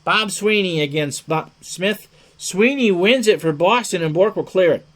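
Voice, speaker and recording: Guy doing hockey play by play, voice a bit on the high side, chatty, short clipped calls and jokey asides. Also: expressive, measured pace, fairly bright tone. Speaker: play-by-play sports commentator. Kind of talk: hockey game commentary.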